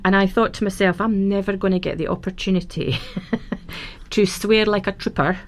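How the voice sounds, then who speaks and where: A woman guest on a radio show, chatting warmly about her work as a celebrant, with frequent fillers and restarts. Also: mid-range voice, steady tone, moderate pace. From Scotland. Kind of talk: casual radio interview. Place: radio studio. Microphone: studio microphone.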